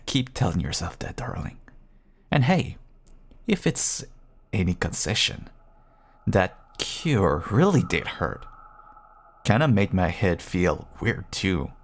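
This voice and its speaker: A man acts out a feral vampire husband in an intimate audio drama. His voice is husky and full of fry, and he takes his time over every taunting endearment. He sounds hungry, sly and manipulative. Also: low-pitched, big pitch swings, heavily acted. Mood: bitter, mocking. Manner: slow, taunting, drawling endearments. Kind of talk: intimate audio roleplay acting.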